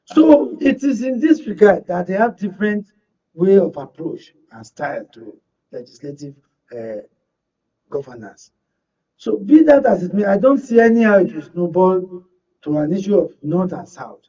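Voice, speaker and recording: A man's voice: high voice, sing-song, moderate pace, dark tone, husky. A Nigerian man giving political analysis on a panel in a formal, wordy way, fond of proverbial turns of phrase. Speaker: panel guest, media analyst. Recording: distant mic, dull lo-fi sound.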